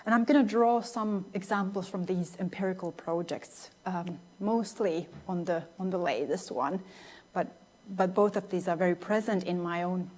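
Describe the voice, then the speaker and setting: A woman's voice: fairly low pitch, moderate pace, darkish tone. Finnish woman giving an academic lecture. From Finland. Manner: thoughtful, many restarts and fillers. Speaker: guest lecturer.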